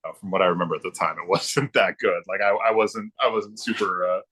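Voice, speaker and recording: A middle-aged man reminiscing casually, thinking aloud in a halting, informal way. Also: low-pitched, fairly even intonation, pushed and bright, slightly rough. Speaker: guest, former comics production worker turned librarian.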